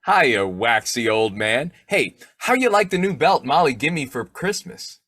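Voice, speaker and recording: A man's voice: mid-range voice, very animated, a little slow, fairly bright tone, no echo, clean studio sound. A man acting a snoopy, folksy husband who cracks slangy jokes in an old-time radio comedy. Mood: jokey. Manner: folksy, jokey, slangy delivery.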